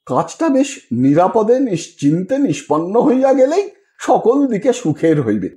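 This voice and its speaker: Casting: male reader as calculating old gentleman for an audio story; highish, animated voice, measured and persuasive. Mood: persuasive, exasperated. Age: older. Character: shrewd old Bengali gentleman, coolly calculating, self-righteous schemer.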